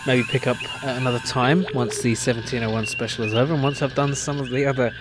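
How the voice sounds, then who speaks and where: A man's voice: mid-range voice, steady tone, quick pace, background noise. A man hosting a gaming video, giving casual, musing and slightly hesitant commentary as he plays. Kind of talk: casual let's play commentary. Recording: game music behind the voice. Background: video game music.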